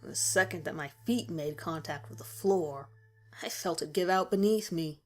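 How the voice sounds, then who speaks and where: A woman's voice: lowish voice, normal speed, a little mellow. A woman reading a scripted first-person horror story as its narrator.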